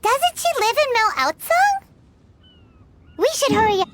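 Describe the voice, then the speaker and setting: A woman voicing a small, childlike floating fairy companion in a video game, squeaky, perky and excitable. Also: unhurried, exaggerated voice. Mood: chirpy. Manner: perky, exclaiming.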